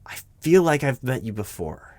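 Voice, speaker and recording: A man playing a nervous, polite recruiting manager in a job interview roleplay, speaking aloud in a halting, stammering, apologetic way. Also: mid-range voice, big pitch swings, darkish tone, no echo, close to an everyday voice. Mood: puzzled, distracted. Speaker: roleplay performer. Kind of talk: one-sided job interview audio roleplay, spoken aloud.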